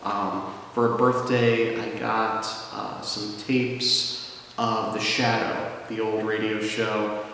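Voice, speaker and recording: A man's voice: fairly low pitch, flat delivery, slow pace, pushed and bright. A young adult man, a radio show producer and writer, chatting casually in an interview with plenty of fillers. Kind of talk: relaxed interview talk. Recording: echoey hall with music behind.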